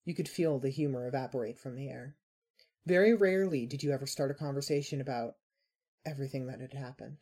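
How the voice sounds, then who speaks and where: A woman reading scripted fiction narration in a measured, dramatic storytelling manner. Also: rumbling low, mellow, husky.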